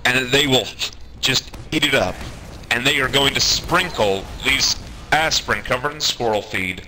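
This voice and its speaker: A man telling a comedic anecdote in an animated way, acting out the quotes as he goes. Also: mid-range voice, unhurried. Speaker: storyteller, online gamer. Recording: noisy amateur game-session recording.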